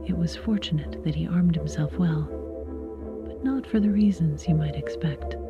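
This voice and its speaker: A woman's voice: low-pitched, normal speed. A woman with a whispery voice reading a scripted introduction as the announcer of an audio episode. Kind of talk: scripted narration. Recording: dull lo-fi sound under ambient music. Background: ambient music.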